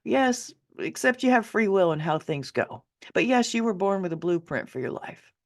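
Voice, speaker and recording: A woman voicing a hesitant, polite male amateur astrology reader with a halting delivery, in an audiobook memoir. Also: mid-range voice, lively intonation, voice pushed up, lightly acted. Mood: earnest.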